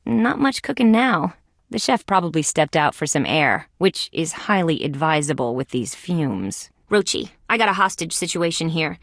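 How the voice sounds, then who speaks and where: A woman voicing a young, wisecracking female insect police detective in a video game, with sardonic, deadpan quips. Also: sits low, normal speed, gravelly, no echo, studio quality, heavily acted. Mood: dry sarcasm.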